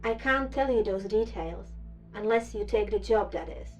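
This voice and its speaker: A woman's voice: mid-range voice, moderate pace, faint music behind, natural voice. A woman playing a guarded, businesslike recruiter in an audio drama, formal and clipped. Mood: guarded, persuasive. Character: guarded, businesslike female recruiter for secret facility. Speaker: voice actor in a fiction podcast.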